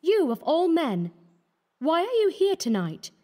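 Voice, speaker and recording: A man reading an audiobook, voicing an earnest, pleading young woman of the artists' quarter in a soft, reproachful manner. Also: huge pitch swings, measured pace, heavily acted. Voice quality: high and fluttering.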